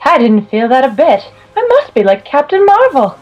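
A sweet young superheroine acted by a woman speaks in a high, lively voice. She is dainty, wide-eyed and full of glee, as in an amateur radio play of a comic book. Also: moderate pace, dull audio, faint music behind. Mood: wide-eyed, pleased.

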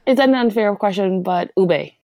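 A woman's voice: mid-range voice, moderate pace, darkish tone, no echo. A woman from the Philippines, a guest in a casual interview, who laughs often and says "like" a lot. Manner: laughs often, leans heavily on "like".

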